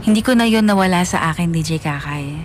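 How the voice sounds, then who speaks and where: A woman's voice: on the low side, fast. A woman with a Filipino accent reading a scripted first-person narration for a radio drama. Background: faint music.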